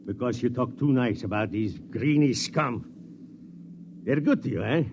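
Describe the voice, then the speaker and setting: An actor playing a hate-filled, brutish prisoner in a 1950s radio drama, blunt and threatening. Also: male voice, mid-range voice, measured pace, close to an everyday voice. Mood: vengeful rage. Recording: muffled, noisy 1950s radio recording.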